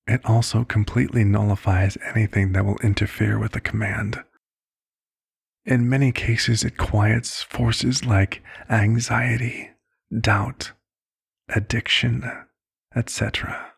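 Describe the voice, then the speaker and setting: A man playing a calm, caring vampire doctor in an ASMR roleplay, speaking in a soft, measured, reflective way. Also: fairly low pitch, gravelly, slightly rough, dry recording, studio quality, full character voice. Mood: measured, earnest. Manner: measured, hushed, reflective storytelling. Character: calm, caring male vampire doctor recounting a past case.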